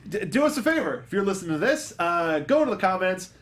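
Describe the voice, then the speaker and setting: A man hosting a movie review panel, chatting casually and thinking aloud in a halting way. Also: sits high, very animated, over a noisy crowd. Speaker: host of a movie review show.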